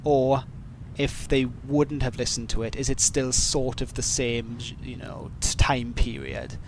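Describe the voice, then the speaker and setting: A man's voice: mid-range voice, level tone, a little slow, slight background noise, rough recording. A man with a heavy Welsh accent chatting casually on a livestream, reading and answering viewer questions in a rambling, self-deprecating way with many fillers. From Wales. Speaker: streamer.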